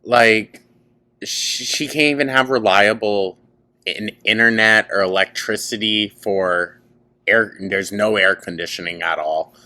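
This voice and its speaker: A man's voice: on the low side, a little slow, pushed and bright. A man hosting a reaction show, giving casual, mocking commentary in an exasperated, slangy, incredulous way.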